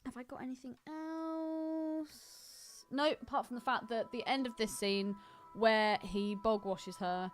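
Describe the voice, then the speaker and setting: A woman's voice: on the high side, steady tone, measured pace, faint music behind. A British woman co-hosting a film podcast, chatting casually with irreverent, sweary banter. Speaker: podcast co-host.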